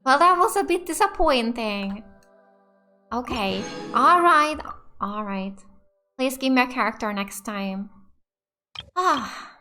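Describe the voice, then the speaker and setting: A woman giving casual, excitable live commentary as a gaming host, often repeating short exclamations. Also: on the high side, slow pace, long pauses. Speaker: gaming let's play host. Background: game music.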